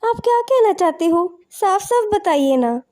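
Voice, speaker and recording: A woman voicing a curious, excitable poor village wife in an animated moral story, exclaiming and questioning. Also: very high voice, measured pace. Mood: puzzled, curious.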